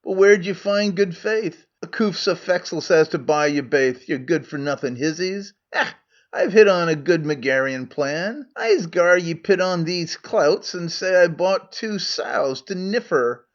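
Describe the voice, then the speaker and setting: A crafty, down-at-heel trader with a broad Scottish accent coaxes and blusters, as a man puts him on. The voice sits high and bounces with eager hawking. It is heard through a dull, muffled recording. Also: lively intonation, measured pace. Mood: wheedling. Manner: broad dialect, coaxing and blustering. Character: crafty poor male trader, older, wheedling.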